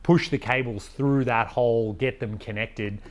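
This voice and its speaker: A man's voice: medium pitch, steady tone, unhurried. A man in his forties talking casually to camera like a DIY vlogger, thinking aloud as he explains things in a conversational way. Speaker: vlogger presenting a DIY vehicle install.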